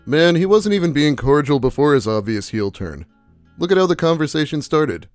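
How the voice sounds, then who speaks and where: A man's voice: a little high, sing-song, moderate pace, darkish tone, dry recording, soft background music. A man hosting a commentary video, reading online posts aloud and mocking them in a dry, blunt, profane way.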